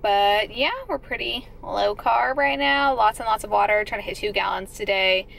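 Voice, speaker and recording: Young woman fitness vlogger, casual and chatty, creaky voice, close camera in a car. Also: mid-range voice, normal speed, a bit bright. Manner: chatty, filler-heavy, upbeat. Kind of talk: casual vlog talk to camera. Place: parked car. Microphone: handheld camera close-up.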